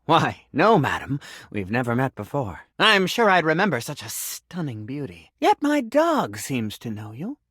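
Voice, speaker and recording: A man's voice: mid-range voice, normal speed, slightly rough, dry recording, studio quality, full character voice. An actor voicing an eccentric talking sink who believes he is a man, in a florid, theatrical video game style. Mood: whimsical, dramatic. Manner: florid, theatrical, whimsical, swinging between grandiose and deadpan.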